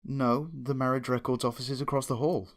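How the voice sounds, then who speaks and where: A man's voice: medium pitch, steady tone, brisk, lightly acted. A man voicing a reserved, weary male pony records clerk who speaks formally and with restraint. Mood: subdued.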